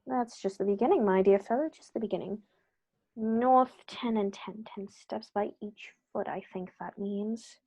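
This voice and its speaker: A woman playing a calm, clever male detective in an old-style radio play, measured and precise as she works things out aloud. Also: medium pitch, slightly rough, poor audio. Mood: calm, deductive. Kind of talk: 1930s radio play acting.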